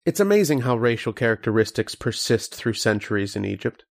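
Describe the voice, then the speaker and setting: A man's voice: medium pitch, very animated, brisk, gravelly. A man playing a learned, patient archaeologist who explains and narrates in an old-time radio horror drama style. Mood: uneasy, brooding. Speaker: lead actor and narrator.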